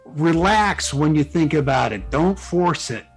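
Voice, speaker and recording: A man with a fairly high voice talks as a guest author in a casual interview. He explains his thoughts informally and reflectively, sometimes haltingly. Music plays faintly behind him. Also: expressive, husky. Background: background music.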